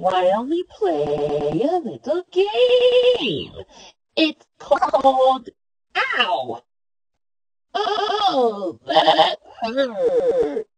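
A woman voicing a playful, volatile digital glitch cat for game-style voicelines, husky and theatrical. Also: sits high, a little mellow, full character voice, low-quality recording. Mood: hurt, indignant. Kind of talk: video game character voicelines.

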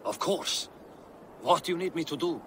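A loyal but anxious Norse councilman in a game. His worried voice is bright and swings in pitch, sitting a little high, with questioning delivery. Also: expressive, normal speed, slight background noise. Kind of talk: video game dialogue acting.

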